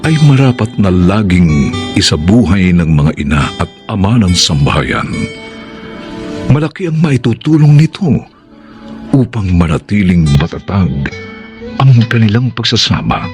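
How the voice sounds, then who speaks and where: A man with a Filipino accent and a gravelly, husky voice, giving solemn scripted narration for a radio drama. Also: low voice, very animated, measured pace, over music. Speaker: narrator and co-director.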